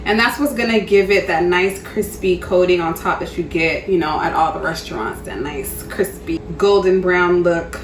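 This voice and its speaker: Upbeat, chatty woman with a lowish voice. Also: normal speed, soft background music, low-quality recording. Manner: chatty and upbeat, laughs often; casual, folksy slang. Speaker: cooking show host. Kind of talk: recipe voice-over narration.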